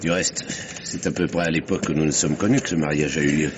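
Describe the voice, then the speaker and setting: An actor playing a grave, principled elderly retired banker in an old French radio mystery, speaking in a measured, sententious way. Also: male voice, extremely low pitch, fairly even intonation. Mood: indignant, grave. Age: older. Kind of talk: mid-century French radio mystery acting. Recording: noisy, muffled old radio drama recording.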